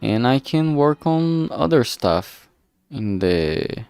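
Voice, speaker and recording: A man giving a casual, unscripted livestream update in a halting, rambling way, often trailing off mid-phrase. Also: medium pitch, very animated, vocal fry, a little mellow, clear, resonant tone, soft background music. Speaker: streamer, visual novel maker.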